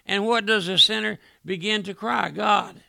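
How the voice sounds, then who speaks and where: Fiery gravelly preacher man. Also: high-pitched, fairly even intonation, moderate pace, no room sound, clean studio sound. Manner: impassioned, repeats "my friend" with a dropped, hushed voice. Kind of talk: fiery revival sermon.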